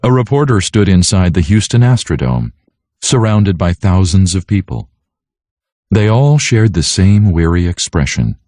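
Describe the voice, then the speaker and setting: A man's voice: sits low, very animated, a little slow, mellow, no echo, clean studio sound. A man reading a children's story aloud as a scripted narration. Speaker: audiobook narrator.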